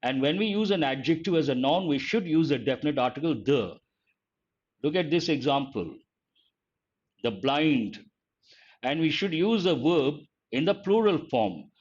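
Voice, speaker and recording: Older man, Indian English accent, fairly high voice, grammar teacher, slow deliberate explanations, muffled lo-fi sound. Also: expressive, a little mellow. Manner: addresses students by name, asks and answers his own questions; slow, deliberate, repeats key points. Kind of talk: online grammar lesson. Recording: dull lo-fi audio.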